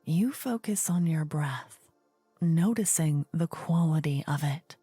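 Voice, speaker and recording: A woman narrating a fantasy ASMR story in a soft, soothing whisper, measured and very close to the mic. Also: low voice, expressive, slightly rough, no echo, soft background music. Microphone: close ear-to-ear ASMR microphone.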